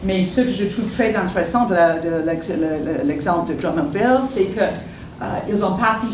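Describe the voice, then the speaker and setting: A woman's voice: fairly low pitch, moderate pace, a little mellow. A woman whose first language is English, speaking at a public meeting, hesitant and searching for words with many restarts. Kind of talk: unscripted public comment. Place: meeting hall. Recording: echoey room, distant mic, background noise.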